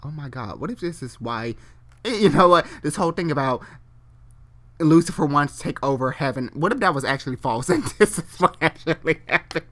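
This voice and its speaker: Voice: mid-range voice, lively intonation, a little fast, a bit bright. A host giving a dramatic story reading, stumbling over the text and breaking into exasperated, sweary commentary.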